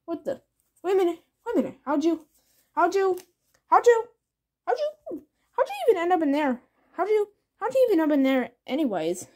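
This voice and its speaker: A woman's voice: sing-song, a little fast, pauses often, warm tone. A woman voicing a panicky cartoonish man who stammers and begs in a raised voice. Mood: baffled. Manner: frantic, stammering repetitions, high-pitched begging. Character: panicky pleading cartoonish man who fears being grounded. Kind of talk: improvised comedy skit.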